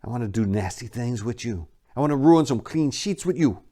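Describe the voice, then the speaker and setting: A man's voice: mid-range voice, expressive, fairly quick, dark tone, natural voice. Man reading as cooing seductive hustler woman. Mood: seductive, coaxing. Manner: cooing, coaxing.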